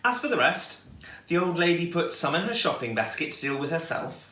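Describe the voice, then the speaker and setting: Man, children's storyteller, highly varied intonation, expressive delivery, echoey, distant microphone. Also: a little fast.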